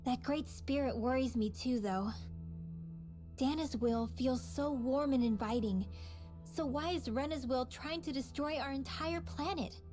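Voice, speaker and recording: A woman's voice: sits high, unhurried, darkish tone, soft background music. An actress voicing an earnest, thoughtful young woman mage in a video game cutscene, speaking sincerely. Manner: sincere, reflective.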